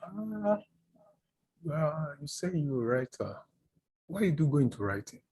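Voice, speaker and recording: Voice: medium pitch, very animated, unhurried, mellow, breathy edge, low-quality recording. An interviewer asking short, plain questions over a video call.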